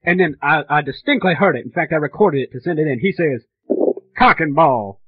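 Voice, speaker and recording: A clueless, easily offended dad put on by a man complains to customer support. He rambles, stammers and repeats himself in a husky voice over a tinny phone line. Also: a little high, expressive, a little fast, no room sound. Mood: puzzled complaining. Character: indignant, clueless father calling game support to complain.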